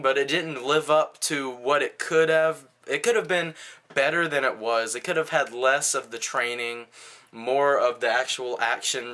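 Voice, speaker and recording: A man's voice: mid-range voice, bright, forceful tone. A man giving a casual video movie review in a blunt, slangy, conversational way.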